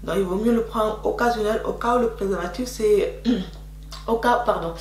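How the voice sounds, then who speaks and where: A woman's voice: medium pitch, normal speed. A young woman vlogging casual advice, a bit congested from a cold, talking in a chatty, repetitive way with many fillers. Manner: chatty, repetitive, frequent "donc voilà" fillers. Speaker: beauty vlogger. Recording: echoey room with faint hum.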